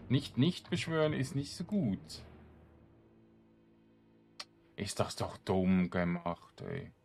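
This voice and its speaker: A man's voice: lowish voice, sing-song, unhurried, gravelly, darkish tone. A man giving casual let's-play commentary, grumbling in exasperation and muttering to himself as he plays. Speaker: video game streamer.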